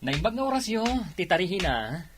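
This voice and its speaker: A man's voice: high-pitched, sing-song, brisk, fairly bright tone, a little hiss or hum. A man playing a polite, eager young suitor with a courteous manner in a radio drama. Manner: courteous, greeting elders.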